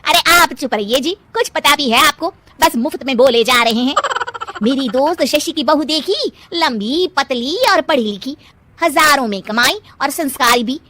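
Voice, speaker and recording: Shrill, excitable, bossy mother-in-law. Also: moderate pace, a little hiss or hum. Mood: scolding. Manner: loud, scolding, gushing exclamations. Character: boastful, excitable middle-aged mother-in-law, bossy and showy. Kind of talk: cartoon family drama acting.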